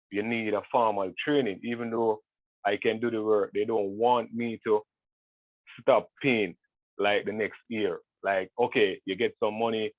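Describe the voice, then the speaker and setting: A man's voice: fairly low pitch, dry recording. A young man with a Jamaican accent, a guest artist talking in a video call interview, hesitant with many ums and restarts. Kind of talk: casual interview talk. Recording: tinny phone-like call audio.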